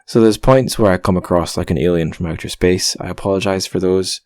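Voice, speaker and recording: A man's voice: deep voice, brisk, a little mellow, no echo, clean studio sound. A man hosting a casual podcast interview, speaking in an awkward, hesitant, self-deprecating way. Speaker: podcast host.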